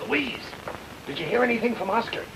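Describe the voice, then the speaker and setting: An actor playing a glib private detective on the run, sweet-talking in a 1940s film noir style. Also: male voice, very high voice, animated, fairly quick, background noise. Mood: brisk, coaxing. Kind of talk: 1940s film noir comedy-mystery acting.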